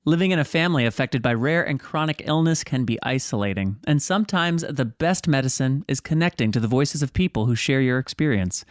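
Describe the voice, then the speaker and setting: A man reading a scripted network promo in a smooth, even manner. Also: mid-range voice, no room sound, clean studio sound. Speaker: network announcer.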